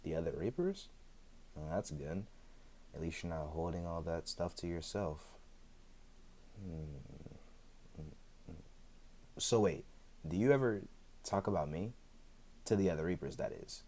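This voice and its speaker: A man acts out an immortal man with a very deep voice in a roleplay audio drama. He is chatty and a little sheepish, dodging and hesitating as he talks, with a dry sense of humor. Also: a little slow, pauses often, darkish tone, a little hiss or hum. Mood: wistful, teasing. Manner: conversational, hesitant, with frequent chuckles and dry humor. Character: chatty, sheepish, evasive immortal man, freshly back from death. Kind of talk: ASMR roleplay audio drama, one side of a conversation.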